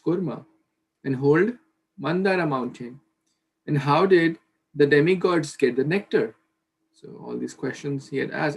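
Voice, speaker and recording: A man's voice: a little high, gravelly, husky. A man in his thirties leading a scripture study over a video call from a small bedroom, talking earnestly with fillers and restarts. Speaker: scripture class leader. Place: small bedroom at home, indoors. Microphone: laptop webcam on video call.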